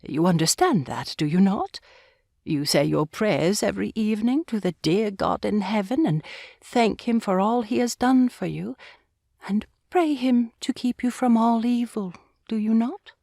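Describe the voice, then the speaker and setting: A woman playing a kindly, pious old grandmother in an audiobook drama, speaking gently, earnestly and with measured care. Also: medium pitch, creaky, dry recording, clean studio sound. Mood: tender, earnest. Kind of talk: full-cast audiobook acting.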